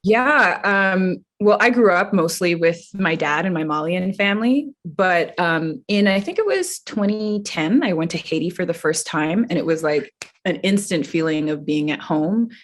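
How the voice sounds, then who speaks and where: Reflective woman, slightly low voice. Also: animated, normal speed. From Toronto, Canada. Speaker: actor, cast member. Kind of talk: casual interview chat.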